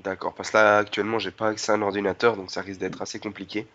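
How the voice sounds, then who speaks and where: A man's voice: on the low side, level tone, a bit bright, dry recording, close to an everyday voice. A man on a prank call playing a polite, dim-witted barman who is earnest and hopelessly confused by spelling. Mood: politely puzzled. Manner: slow-witted, earnest, muddled, asks for repeats. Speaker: prank caller. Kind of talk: improvised prank phone call. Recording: dull lo-fi phone call audio.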